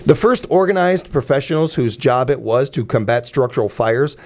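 A man's voice: medium pitch, animated, normal speed, a little mellow. A man hosting a radio morning show in a studio, ranting with passion and tripping over his words with fillers and restarts. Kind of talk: opinionated radio talk.

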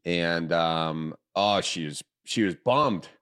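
Low-ish voice guy hosting a podcast, jokey and chatty, lots of uh and folks. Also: takes it slowly, fairly bright tone, clean tone. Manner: joking, chatty, fills with uh and folks. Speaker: podcast host. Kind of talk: casual chat and interview.

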